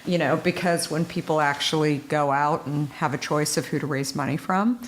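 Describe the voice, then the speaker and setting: A woman's voice: sits low, normal speed, slight background noise, poor audio. A woman hosting a casual interview podcast, talking in a rambling way full of "you know" and "like". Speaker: podcast host.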